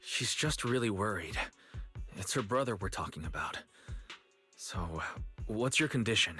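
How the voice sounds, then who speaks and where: A man voicing a calm, perceptive young male character in a video game, with a mild, measured manner. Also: on the low side, faint music behind. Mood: calm, protective.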